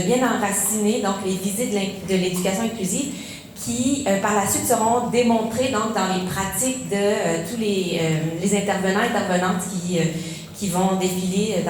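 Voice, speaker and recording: A woman's voice: medium pitch, a little mellow. A woman from Quebec with a Quebec French accent, opening a conference talk hesitantly with many fillers. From Quebec, Canada. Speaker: professor giving a conference talk. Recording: echoey hall with faint noise.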